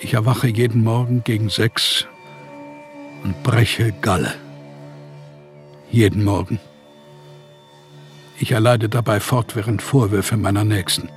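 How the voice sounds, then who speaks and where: A man's voice: lowish voice, steady tone, a little slow, pauses often, soft background music, lightly acted. An actor playing a despairing, exhausted writer in exile, reading his letters in a radio play with weary, heavy diction.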